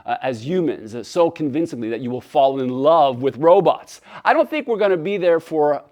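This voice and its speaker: A man from Canada whose native tongue is French gives an animated webinar keynote, often restarting phrases and acting out dialogue. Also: fairly high pitch, moderate pace. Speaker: keynote speaker. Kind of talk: webinar presentation.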